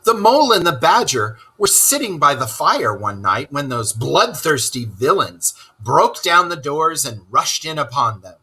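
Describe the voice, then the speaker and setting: A man narrating a children's story aloud in an expressive storytelling style. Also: on the high side, a little slow, hardly any pauses, breathy edge, poor audio.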